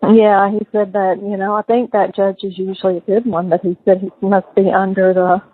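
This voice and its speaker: A woman's voice: medium pitch, little pitch movement, normal speed. A middle-aged woman talking casually on a recorded phone call. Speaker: mother on a call to her son in jail. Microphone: telephone.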